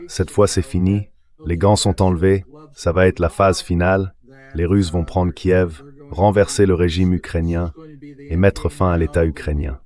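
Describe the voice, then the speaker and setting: Male AI dub voice, deep and low, for a political commentator analysing as a guest on a talk show. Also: a little mellow. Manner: synthetic AI voice-over reading. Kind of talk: political analysis, dubbed translation.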